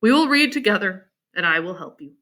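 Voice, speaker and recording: A woman reads as a kindly teenage farm boy from a storybook. The voice drops well down and stays calm and earnest. He talks with quiet, proud conviction. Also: medium pitch, a little fast, voice pushed down. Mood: quiet, encouraging.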